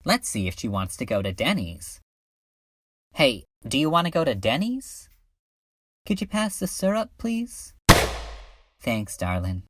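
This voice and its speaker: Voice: medium pitch, sing-song, unhurried, pauses often, no room sound, clean studio sound. A woman voicing a laid-back cartoon cat creature in a comedic dub, with a casual, slangy manner. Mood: smitten, playful.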